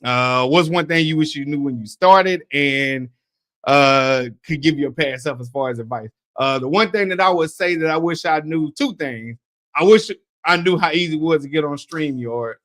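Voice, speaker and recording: A man co-hosting a sports podcast, talking in a relaxed, chatty way and laughing easily. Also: fairly high pitch, normal speed, no room sound. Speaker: podcast co-host. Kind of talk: casual podcast chat.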